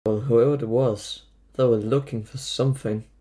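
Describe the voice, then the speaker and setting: A man's voice: mid-range voice, fairly even intonation, normal speed, dark tone, close to an everyday voice. A man playing a blunt, sarcastic teenage schoolboy in an audio drama. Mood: dry, baffled. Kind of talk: fan audio drama acting.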